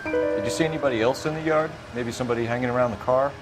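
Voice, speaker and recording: An actor playing a brisk, formal 1940s police detective in a game, businesslike and polite as he questions people. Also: medium pitch, loud music behind the voice, lightly acted, low-quality recording. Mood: probing, polite.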